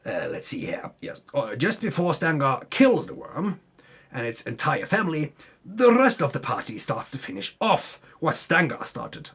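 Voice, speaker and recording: Husky man giving an animated dramatic recap. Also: on the high side, normal speed. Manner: theatrical, tongue-in-cheek. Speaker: storyteller recapping a tabletop campaign. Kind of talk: dramatic reading with wry ad-libbed asides.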